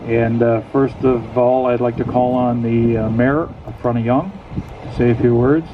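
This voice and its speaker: A man's voice: mid-range voice, steady tone, normal speed. A man organizing an outdoor ceremony, making chatty, self-deprecating announcements with fillers. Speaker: ceremony organizer introducing speakers. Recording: muffled outdoor recording with loud background noise.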